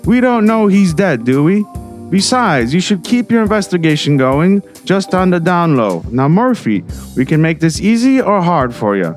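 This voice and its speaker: A man playing a slick, self-serving small-town mayor in an audio drama, smooth-talking and condescending. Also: sits high, very animated, normal speed, soft background music.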